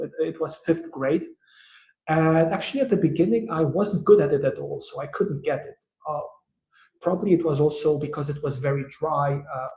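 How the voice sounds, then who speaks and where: A man from Romania, an entrepreneur guest in an interview, who answers in a thoughtful, hesitant way with many "uh" pauses. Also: a little high, a little mellow, roomy sound, low-quality recording.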